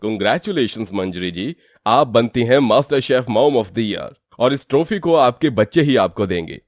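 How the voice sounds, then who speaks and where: A man's voice: mid-range voice, animated, measured pace, no room sound, clean studio sound, lightly acted. A man acting friendly male characters in a dramatised moral story. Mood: congratulatory. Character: male story characters: polite contest announcer, teasing son.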